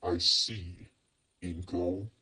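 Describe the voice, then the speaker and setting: A man's voice: deep voice, fairly even intonation, very slow, bright, forceful tone, husky, heavily acted. A man playing a cold, menacing, possessive father in an acted fan fiction audio drama, icily polite and biting.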